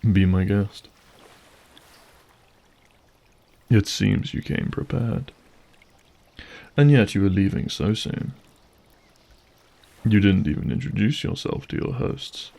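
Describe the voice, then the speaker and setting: Guy doing suave evil monster host, mellow and gravelly, drawls slowly, theatrical fake politeness, audio drama. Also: on the low side. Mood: amused menace. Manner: theatrical, mock-courteous, deliberate. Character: suave, menacing male monster host, mocking and vengeful. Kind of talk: scripted audio drama acting.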